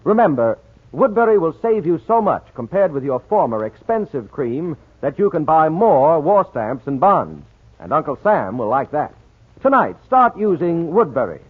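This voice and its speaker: A man's voice: a little high, big pitch swings, normal speed, breathy edge. A man working as a radio announcer, with a polished, emphatic 1940s sales and narration delivery. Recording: tinny 1940s radio recording.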